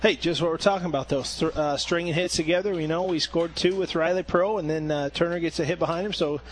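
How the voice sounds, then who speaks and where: A man giving easygoing color commentary on a baseball radio broadcast, with a soft-spoken manner. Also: fairly high pitch, steady tone, fast talker, a little hiss or hum.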